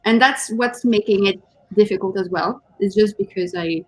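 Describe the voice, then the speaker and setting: A woman whose first language is not English, chatting casually as co-host of a writing stream, often pausing and hunting for words. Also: medium pitch, animated, vocal fry, darkish tone, slightly rough, no echo. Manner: hesitant, searching for words, giggly. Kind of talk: casual chat on a writing livestream.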